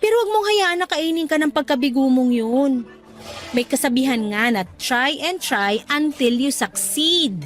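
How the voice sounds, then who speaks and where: A woman acting as an affectionate, encouraging young girlfriend in a radio drama, speaking in a coaxing, animated way. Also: sits high, moderate pace, soft background music. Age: young adult. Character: supportive, upbeat young girlfriend cheering on her partner. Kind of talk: Tagalog radio drama dialogue.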